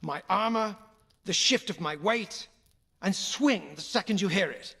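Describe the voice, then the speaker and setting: An actor playing a royal uncle and sword instructor in an audio game, firm, formal and encouraging. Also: male voice, high-pitched, expressive, a little slow, creaky, fairly bright tone, slightly rough, exaggerated voice. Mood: instructive, encouraging.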